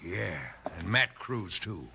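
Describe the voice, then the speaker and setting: A man's voice: on the low side, expressive, takes it slowly, vocal fry, pushed and bright, full character voice. An actor playing a surly, hot-headed American henchman, brutish and threatening, in a 1950s radio western. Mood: sullen. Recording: crackly 1950s radio recording.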